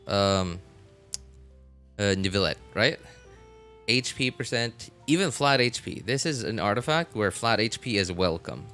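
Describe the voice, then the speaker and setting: A man giving casual, halting gameplay commentary as a streamer, repeating himself as he thinks aloud. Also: on the low side, a bit bright. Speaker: game streamer. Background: game music.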